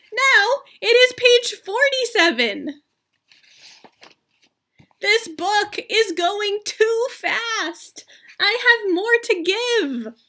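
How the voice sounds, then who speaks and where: A woman voicing an excitable, anxious male elephant from a children's picture book, with exclamatory, drawn-out delivery. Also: extremely high pitch, pitched above the performer's own voice, heavily acted. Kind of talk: children's storybook read-aloud.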